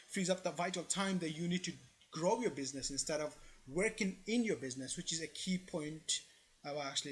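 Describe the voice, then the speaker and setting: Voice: mid-range voice, a little hiss or hum. An online presenter giving informal explanatory commentary in an opinionated, emphatic manner, often checking in with the listener.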